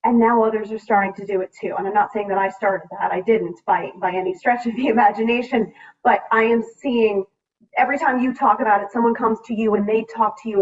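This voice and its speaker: Woman, American accent, medium pitch, earnest, emphatic, panel remarks, distant dull video-call sound. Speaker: state legislator on a panel. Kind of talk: public panel remarks, speaking from personal conviction. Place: remote video meeting.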